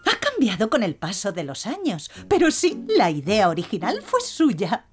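A woman's voice: medium pitch, huge pitch swings, moderate pace, husky, soft background music. A woman voicing a kindly, encouraging grown-up mentor in a children's cartoon. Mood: gently encouraging. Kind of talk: animated children's show acting.

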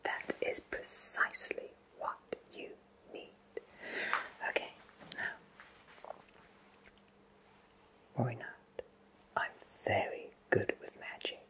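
A man playing a friendly, absent-minded wizard who runs a potion shop, speaking in a soft breathy whisper for an ASMR roleplay, gently and reassuringly. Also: low-quality recording. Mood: musing, reassuring. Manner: slow, gentle, hesitant, reassuring.

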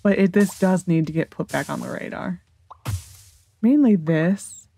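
A woman's voice: lowish voice, measured pace, vocal fry, dark tone, faint music behind. A woman with a General American accent giving casual gameplay commentary, rambling as she thinks aloud to herself. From United States. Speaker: gaming video host.

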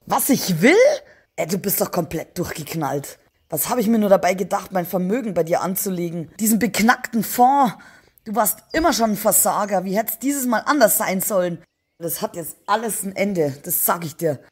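A woman's voice: mid-range voice, expressive, normal speed, slightly rough. A woman playing a bitter, indignant sister-in-law making accusations in an audio crime drama. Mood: furious, scornful. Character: outraged, bitter woman confronting her criminal brother-in-law.

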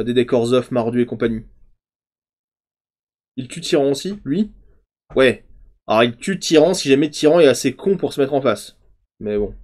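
A man in his thirties casually reviewing card previews on a stream, chatty and thinking aloud, often trailing off into quieter asides. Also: medium pitch. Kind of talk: casual game commentary. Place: home streaming room, indoors.

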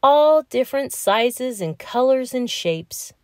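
A woman's voice: sits high, expressive, slow, darkish tone, no room sound, studio quality, pitched above the performer's own voice. A woman voicing an earnest, stubborn, dreamy young male crocodile from a children's storybook, with emphatic delivery. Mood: stubborn, proud.

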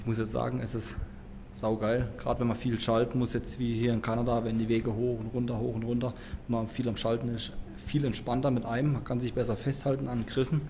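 Ein junger Mann aus Deutschland, der locker und begeistert mit Umgangssprache von seinem Roadtrip erzählt und dabei in eine Handkamera im Freien spricht. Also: male voice, mid-range voice, fast. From Germany. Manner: excited, slangy, talks to camera outdoors. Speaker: travel vlogger. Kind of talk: casual travel vlog. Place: outdoors on a road trip in the mountains. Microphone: handheld camera. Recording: outdoor recording with clear wind and background noise.

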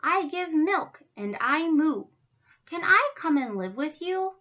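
A woman's voice: high-pitched, sing-song, slow, voice pushed up, exaggerated voice. A woman voicing a meek, friendly, self-doubting female cow in a children's story, earnest and singsong, slightly dull recording. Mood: hopeful, timid.

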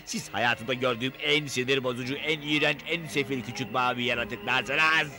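A man's voice: mid-range voice, fairly even intonation, normal speed, natural voice. A man voicing a wicked, menacing cartoon wizard in a theatrical, spiteful manner. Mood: furious ranting. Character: wicked, ranting wizard villain who hates small blue creatures. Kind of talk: animated cartoon dub. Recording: loud music under the voice.

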